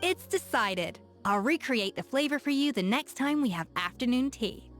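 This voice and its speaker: A woman's voice: high-pitched, moderate pace. An actress playing a confident, playful young woman chef in a game, self-assured and curious, with faint music behind her. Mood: musing. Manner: self-assured, teasing, lively. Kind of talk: game character voice lines.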